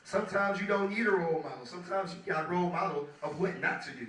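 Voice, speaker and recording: A young man in his twenties speaks to a crowd, hoarse from days of talking, in a loose, chatty way. His voice is bright and a little high. It is heard from a distance in an echoey hall. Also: fast. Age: 20s. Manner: loose and chatty, keeps asking the crowd "you feel me". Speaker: guest speaker, music producer. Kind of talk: casual motivational talk to an audience.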